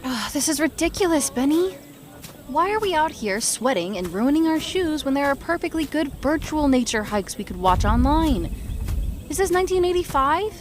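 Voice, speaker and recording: A woman's voice: high voice, lively intonation, moderate pace, a little hiss or hum. A woman playing a sarcastic, rebellious teenage girl in an audio drama, grumbling and sardonic. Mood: exasperated.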